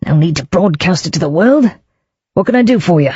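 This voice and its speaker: A reader voicing a smarmy Cockney salesman in his thirties, nervous and wheedling, pushing the pitch up. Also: animated, fairly quick, dark tone, husky. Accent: Cockney overlaid with refinement. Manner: wheedling, panicky, raised pitch. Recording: dull lo-fi, dry.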